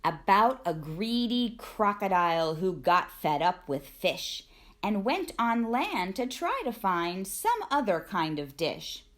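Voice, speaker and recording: A woman reading a rhyming children's storybook aloud in an expressive, deliberate storytelling manner. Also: medium pitch. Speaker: teacher reading a picture book aloud.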